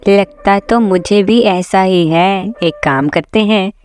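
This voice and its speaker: Lady doing a kid big brother in a cartoon, teasing, spooks easy, slow talker. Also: medium pitch, a little mellow, natural voice. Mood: curious, wary. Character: young boy, teasing older brother, easily spooked. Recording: dull lo-fi sound, music behind.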